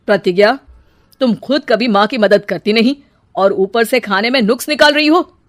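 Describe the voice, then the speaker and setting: A woman's voice: fairly high pitch, normal speed, dry recording, close to an everyday voice. Lady doing a grumpy young husband in a cartoon, blunt and scolding, dry sound. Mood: reproachful. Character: irritable young husband, a critical, fault-finding man.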